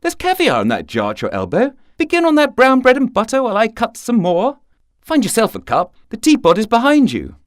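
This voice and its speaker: A man voicing a brisk, self-possessed young woman milliner in a story, breezy, witty and talkative. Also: very high voice, huge pitch swings, no room sound, clean studio sound, voice pushed up, full character voice. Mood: breezy, amused.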